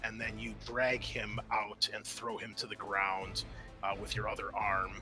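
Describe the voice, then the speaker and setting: A man's voice: fairly low pitch, level tone, measured pace. A man improvising narration as game master in a tabletop roleplaying game, talking casually and addressing his players directly. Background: background music.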